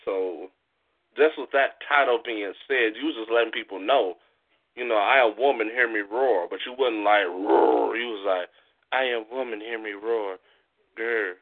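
A man hosting a casual interview in an animated, playful, slangy way, as a street poet who presents a show. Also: lowish voice, moderate pace. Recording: tinny phone-line internet radio sound.

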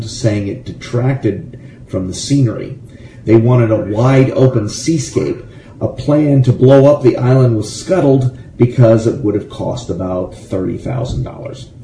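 A man's voice: medium pitch, measured pace, dark tone. An American man from Florida hosting a casual radio show, reading trivia and adding rambling, jokey asides. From Gainesville, Florida. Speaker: radio show host and musician. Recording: roomy, echoey, faint background noise.

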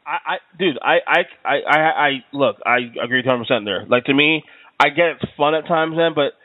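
A man's voice: on the high side, fairly quick, dry recording. Stammering laid-back male host. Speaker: podcast host. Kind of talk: casual sports talk. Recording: phone-like lo-fi audio.

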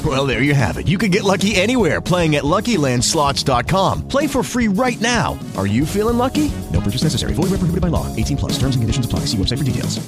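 A woman's voice: low-pitched, big pitch swings, fast talker, hardly any pauses. A woman acting as a playful, insistent street interviewer and announcer in a scripted advertisement. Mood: upbeat selling. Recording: radio ad with loud music bed. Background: loud music.

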